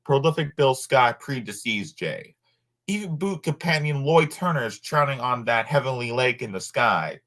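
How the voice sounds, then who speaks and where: Man reading aloud with lively, swinging pitch. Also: moderate pace. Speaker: co-host reading a book aloud. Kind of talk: informal read-aloud of a nonfiction book with asides.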